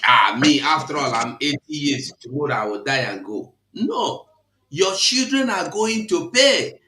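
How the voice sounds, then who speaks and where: A man's voice: sits high, fairly bright tone, echoey room. A man, hosting a live broadcast, exhorting and quoting scripture in a repetitive, preachy cadence. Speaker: online broadcast host.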